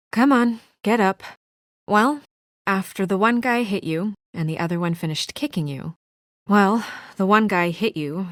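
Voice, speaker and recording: A woman's voice: medium pitch, dry recording, studio quality, natural voice. A woman playing an earnest, businesslike young woman with office authority and a dryly exasperated edge, in naturalistic video game acting. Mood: concerned, dry.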